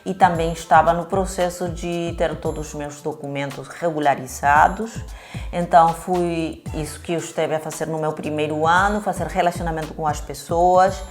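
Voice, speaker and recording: A low-voiced Peruvian woman speaks Portuguese with a Spanish accent. She explains her life as a missionary in a conversational interview style, correcting herself as she goes. Music plays behind her voice. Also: fairly even intonation, moderate pace. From Peru.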